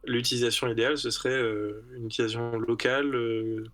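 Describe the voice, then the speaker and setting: A man's voice: medium pitch, little pitch movement, a little fast, a bit bright. A man co-hosting a casual podcast discussion, thinking aloud and repeating words with many fillers. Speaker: podcast co-host.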